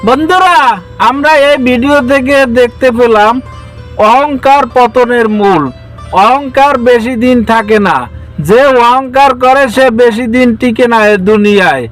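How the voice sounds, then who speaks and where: A man's voice: very high voice, a little slow, soft background music, poor audio. A man narrating a scripted children's fable as a storyteller.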